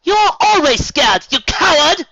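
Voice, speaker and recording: A reader putting on a shrill cruel fashionista mare. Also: sing-song, a little slow, no echo, muffled recording, exaggerated voice. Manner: yelling, growling insults.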